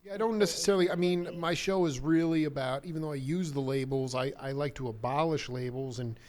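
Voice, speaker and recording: A man's voice: on the high side, a little fast. Rambling male radio talk host. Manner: relaxed, rambling, jazz slang like "cat". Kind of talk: loose conversational radio interview.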